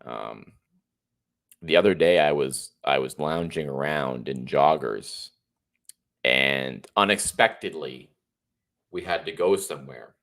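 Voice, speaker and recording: Brief: man in his 30s, livestream host, stuffy and congested, deep voice, rambling opinions. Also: takes it slowly, gravelly, pauses often, a bit bright. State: congested and a little tired. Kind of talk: casual solo livestream monologue.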